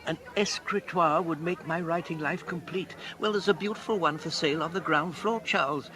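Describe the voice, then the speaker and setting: Man playing a genteel, dithery, higher-voiced gentleman. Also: moderate pace, a crowd in the background, close to an everyday voice. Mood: polite, excitable. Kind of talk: British comic radio acting.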